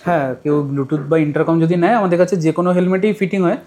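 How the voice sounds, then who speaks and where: Shop guy explaining helmet stuff real fast, matter of fact, bit high voice. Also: darkish tone. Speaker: shop owner. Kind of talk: explaining products.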